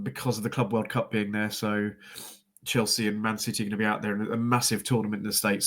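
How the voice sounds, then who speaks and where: A man with a cold and a congested voice, chatting in a rambling way with lots of ums on a football podcast. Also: lowish voice. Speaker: podcast co-host. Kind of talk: casual football podcast chat.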